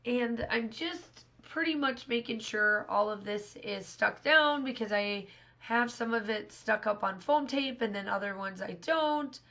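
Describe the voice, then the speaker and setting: A woman in her thirties with a hoarse, scratchy voice, casually talking through a scrapbooking craft project in a rambling way. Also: on the high side, unhurried, bright, forceful tone. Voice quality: rambling, restarts often. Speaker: scrapbooking channel host. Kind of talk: casual voice-over narration of a craft process.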